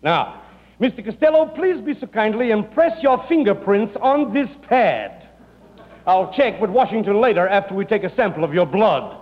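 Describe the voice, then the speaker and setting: Very high-pitched, oily moneylender. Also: male voice, animated, normal speed. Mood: unctuous. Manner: over-polite, formal, mangled foreign grammar. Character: fussy, oily, foreign finance company man. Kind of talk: 1940s radio comedy acting. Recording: dull, noisy old radio recording, hall reverb.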